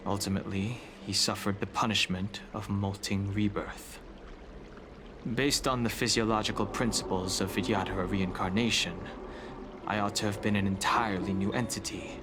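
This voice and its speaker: A man playing a stoic young exile in a video game, reserved, serious and deliberate in his delivery. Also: lowish voice, background noise, natural voice. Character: stoic young male exile burdened by his past life. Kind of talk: dramatic video game voice acting. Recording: music behind the voice.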